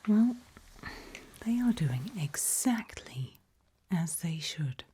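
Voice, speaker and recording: A woman whispering gently and reassuringly to a patient in an ASMR medical roleplay, close to the microphone. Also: low-pitched, sing-song, slow, breathy edge. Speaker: ASMR performer playing a back-alley eye surgeon.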